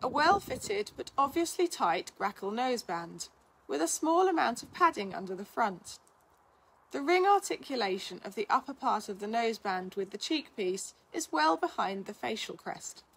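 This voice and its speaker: A woman narrates a written article in a fairly high voice with a husky, less clear edge. Her delivery is measured and instructional, as a reader explaining material to listeners. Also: sing-song, a bit bright, rough recording. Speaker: reader of a blog, physiotherapist. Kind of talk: scripted narration, reading an article aloud.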